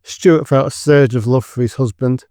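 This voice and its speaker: Guy narrating an audio drama, smooth clear voice, dry close sound. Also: mid-range voice, steady tone, normal speed, warm tone, no room sound. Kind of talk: scripted story narration.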